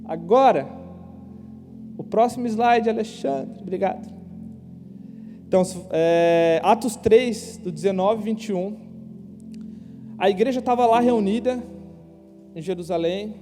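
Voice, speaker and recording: High-voiced young preacher in reverberant hall. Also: male voice, a little slow, long pauses, dark tone, faint music behind. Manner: slangy, conversational preaching with rhetorical questions. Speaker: youth pastor. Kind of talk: casual youth sermon. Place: church hall. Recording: live church hall with long reverb.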